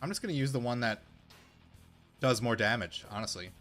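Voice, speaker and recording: A young man rambling through casual gameplay commentary as a game streamer, swearing when frustrated, his voice going hoarse. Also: medium pitch, animated, brisk, a bit bright, faint music behind. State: losing his voice.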